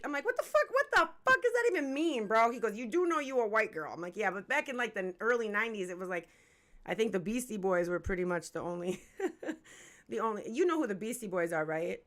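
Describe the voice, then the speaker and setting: A woman's voice: fairly high pitch, big pitch swings, brisk, fairly bright tone. A woman in her forties hosting a casual hip-hop interview livestream, chatty, candid and slangy, swearing freely. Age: 40s. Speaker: host of a music review livestream.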